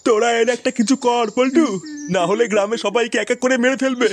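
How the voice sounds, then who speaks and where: A man voicing an excitable, foul-mouthed, cowardly village uncle in a comic cartoon, agitated and blustering. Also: very high-pitched, fast. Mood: distressed. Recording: noisy cartoon audio with ambience.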